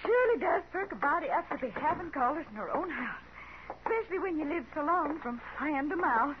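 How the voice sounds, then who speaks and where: A woman's voice: sits high, lively intonation, fairly quick. A woman playing a talkative, meek old frontier mother in a radio Western, with a folksy rural American accent. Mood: eager, hospitable. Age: older. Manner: folksy, chatty, apologetic. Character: lonely, talkative old frontier mother, meekly devoted to her son. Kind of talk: 1950s radio Western acting. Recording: muffled, noisy 1950s radio recording.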